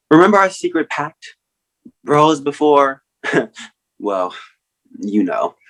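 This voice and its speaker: A man acting as a brash, loyal buddy in a stage comedy. He talks slowly with big swings in pitch, blunt and sardonic in a casual way. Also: sing-song, a bit bright, full character voice, low-quality recording. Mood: cajoling. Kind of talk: comic staged-reading acting.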